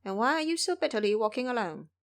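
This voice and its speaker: Casting: knowing old roadside woman, curious and raised in pitch, for a fantasy audio drama acted by a younger-sounding narrator. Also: big pitch swings, fast.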